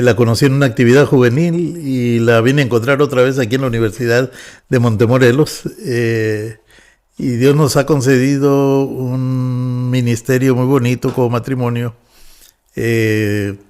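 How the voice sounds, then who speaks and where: A man in his fifties from northwest Mexico with a Mexican Spanish accent, a pastor talking calmly as a podcast guest and pausing often on "eh". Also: medium pitch. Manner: measured, with frequent "eh" fillers. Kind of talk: relaxed podcast interview.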